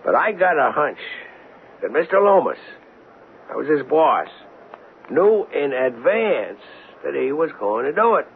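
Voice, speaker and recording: An actor playing an eager, slangy police detective in a 1970s radio drama, insistent and brash. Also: male voice, a little high, very animated, unhurried, gravelly, pauses often, exaggerated voice. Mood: insistent, puzzled. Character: eager hunch-driven married police detective, adult man. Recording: muffled, lo-fi, noisy old radio broadcast.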